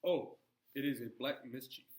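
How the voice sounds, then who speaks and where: An actor playing a brooding farmer husband in a stage drama, gentle at times and indignant at others. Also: male voice, mid-range voice, moderate pace, lightly acted. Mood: alarmed, indignant.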